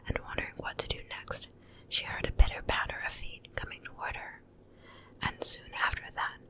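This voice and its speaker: A woman reads a bedtime story in a soft, breathy ASMR whisper, hushed and gentle, close to the microphone from ear to ear. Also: low-quality recording. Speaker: ASMR reader. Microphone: close ear-to-ear stereo microphone.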